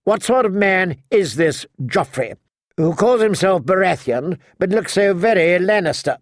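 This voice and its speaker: A man voicing a sharp, imperious old noblewoman in an audiobook, blunt, commanding and mocking. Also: high voice, lively intonation, measured pace, no room sound, studio quality, pitched above the performer's own voice. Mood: wry, confiding. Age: older.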